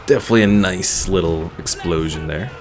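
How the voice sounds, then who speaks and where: A man's voice: deep voice, brisk, over music. A man giving casual live commentary while streaming a game.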